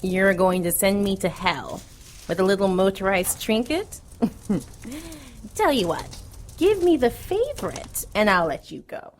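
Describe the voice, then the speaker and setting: A cruel, seductive demoness acted by a woman in an audio drama. She draws out her taunts slowly and swoops through her pitch with theatrical relish, over noticeable background noise. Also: expressive, natural voice. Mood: mocking. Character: hideous female demon, cruel, mocking, seductive and vengeful.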